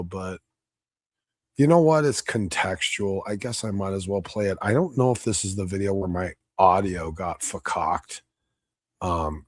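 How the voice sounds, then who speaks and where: A man giving casual livestream commentary on a debate, blunt, combative and profane, his voice slightly hoarse. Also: lowish voice, dry recording, studio quality. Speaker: debate reviewer streaming live.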